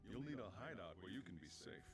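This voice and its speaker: Lively, gruff older cafe owner. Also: male voice, sits low, fairly quick, over music, heavily acted. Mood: kindly, relaxed. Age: middle-aged. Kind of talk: conversational video game voice acting.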